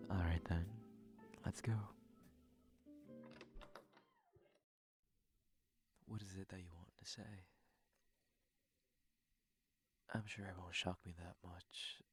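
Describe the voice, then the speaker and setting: A man playing a courteous, shy, flustered young prince in an intimate ASMR roleplay, speaking gently and hesitantly in formal phrases, often in a breathy whisper. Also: deep voice. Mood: curious, courteous.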